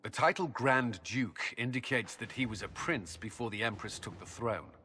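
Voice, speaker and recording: An actor playing an earnest, dutiful army commander in a fantasy game, firm and matter-of-fact. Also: male voice, on the low side, moderate pace, bright, forceful tone, slight background noise. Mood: firm, serious. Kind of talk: dramatic game cutscene dialogue.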